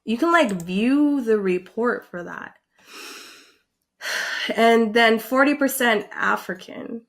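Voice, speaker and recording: A young woman talks slowly and casually to her camera in a fairly high voice. She is animated and excitable, and she fills her speech with likes and ums. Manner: animated, excitable, filler-heavy with frequent likes. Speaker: vlogger. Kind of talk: casual reaction vlog.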